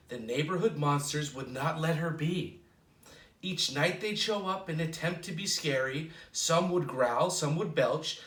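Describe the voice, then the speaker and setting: A man reads a children's picture book aloud as a storytime host. His voice is bright and forceful, and he stretches words for playful drama. It is recorded from a distance in an echoey space. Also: fairly high pitch. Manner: expressive, playful delivery with drawn-out dramatic words. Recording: roomy echo, distant microphone.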